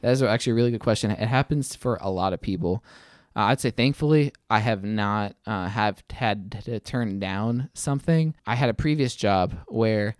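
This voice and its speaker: A man giving thoughtful interview answers, halting often and repeating his words as he goes. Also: fairly low pitch, no echo, clean studio sound. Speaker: interview guest, attorney.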